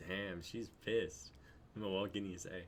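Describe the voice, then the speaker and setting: A man's voice: low voice, fairly even intonation, takes it slowly. A young adult man acting out dialogue in a dramatic script reading. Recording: noticeable background noise, online stream.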